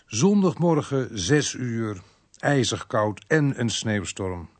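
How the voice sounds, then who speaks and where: An actor playing a blunt, sardonic, bossy police inspector who mutters asides in a Dutch radio crime drama. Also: male voice, medium pitch, big pitch swings, slow pace. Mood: brisk, businesslike. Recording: noisy radio drama recording.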